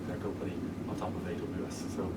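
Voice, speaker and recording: A man's voice: deep voice, completely flat delivery, quick pace. An Irish man speaking as a guest at a live evening talk, explaining his work in a businesslike way and often restarting his sentences. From Ireland. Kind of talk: interview talk to a live audience. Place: event room with an audience. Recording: distant mic with room noise.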